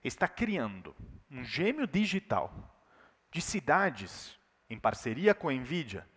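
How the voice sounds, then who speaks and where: Animated Italian-accented man in a hall. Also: on the high side. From Italy. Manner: emphatic, rising and falling delivery with rhetorical questions. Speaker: keynote speaker. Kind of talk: business keynote talk. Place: large conference hall. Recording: reverberant hall.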